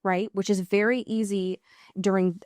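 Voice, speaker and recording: A woman's voice: medium pitch, flat delivery, moderate pace, darkish tone, no echo, studio quality. A woman co-hosting a podcast in a casual recap chat, informal and wry, with some swearing. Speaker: podcast co-host.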